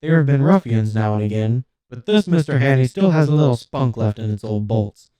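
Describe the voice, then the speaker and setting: A man voicing a courteous, lonely old robot butler and museum guide in an audiobook story, with smooth, formal, apologetic politeness. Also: mid-range voice, animated, brisk, warm tone, no echo, lightly acted, rough recording. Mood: proud, polite.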